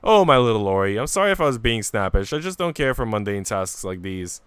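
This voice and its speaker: A man's voice: lowish voice, sing-song, fairly quick, clean tone, no room sound. Man voicing an arch, elegant housemaid. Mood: arch, smooth. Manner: formal, arch. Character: proud, elegant, aloof housemaid woman.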